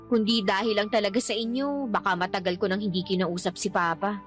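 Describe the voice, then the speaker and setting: An actress with a Filipino accent playing a resentful, outspoken grown daughter in a radio drama, exasperated and complaining. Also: female voice, medium pitch, fairly even intonation, a little fast, soft background music, close to an everyday voice. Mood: bitter.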